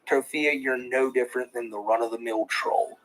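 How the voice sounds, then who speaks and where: A man's voice: mid-range voice, steady tone, moderate pace, a bit bright, poor audio. A man hosting a reaction commentary, talking in a sarcastic, critical way. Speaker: commentary channel host.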